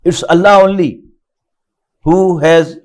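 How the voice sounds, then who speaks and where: A man's voice: sits high, fairly even intonation, slow pace, darkish tone. An older man from Pakistan, a native Urdu speaker with a Pakistani English accent, teaching an online Quran lesson, reciting Arabic verses and then explaining them phrase by phrase in English.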